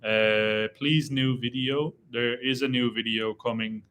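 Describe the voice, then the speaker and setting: A young man with a slight Irish accent hosts a relaxed live chat from a dim room, his voice bright and forceful. He rambles easily and slows down as he reads comments aloud. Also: medium pitch. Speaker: travel vlogger hosting a live Q&A. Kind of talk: casual live-stream chat reading viewer comments.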